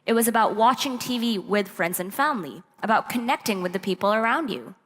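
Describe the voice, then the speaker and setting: A woman from Pakistan delivers a prepared talk as a conference presenter. Her voice has an average female pitch. The sound carries the long reverb of a large hall. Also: expressive, a little fast, a bit bright. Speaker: presenter at a youth tech summit.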